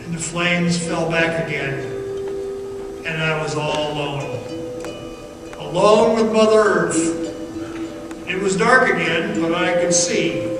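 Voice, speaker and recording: Haunted, fervent male storyteller, radio drama, slow delivery, distant in a reverberant hall. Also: fairly high pitch, fairly even intonation. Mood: awed. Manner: slow, halting, intense storytelling. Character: awestruck man haunted by a fiery vision, fervently recounting it. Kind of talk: dramatic radio theatre monologue. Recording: echoey hall from afar, music underneath.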